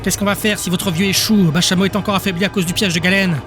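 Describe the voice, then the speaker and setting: A man voicing a sharp-tongued, worried young woman, urgent and scolding, in an audiobook. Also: high-pitched, fairly even intonation, fast talker, music in the background, pitched above the performer's own voice, natural voice.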